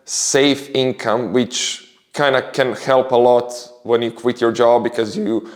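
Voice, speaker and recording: A man's voice: medium pitch, level tone, slow. Man giving upbeat career tips. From Croatia. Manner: upbeat, explanatory, enthusiastic. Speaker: creator giving career advice. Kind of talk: casual advice monologue.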